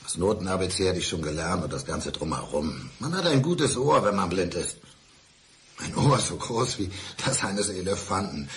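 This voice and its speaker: A man's voice: sits low, sing-song, normal speed, fairly bright tone, slight background noise, exaggerated voice. An actor playing a bitter, brooding blind divorced man who needles his ex-wife in a radio crime drama. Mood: sardonic. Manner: brooding, sardonic, insistent. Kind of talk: 1990s German radio crime drama acting.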